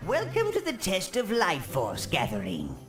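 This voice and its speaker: An actor playing a scheming old minion advisor in a video game, with a wheezy, gravelly voice and a fawning, wickedly relishing manner. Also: male voice, high voice, wildly swooping pitch, a little slow, music in the background, heavily acted. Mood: scheming instruction. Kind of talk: video game cutscene acting.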